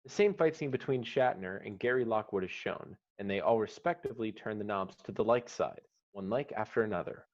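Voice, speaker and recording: A man's voice: lowish voice, a little fast, few pauses. Man narrating a screenplay, dull audio. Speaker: narrator reading stage directions. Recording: slightly dull online call audio.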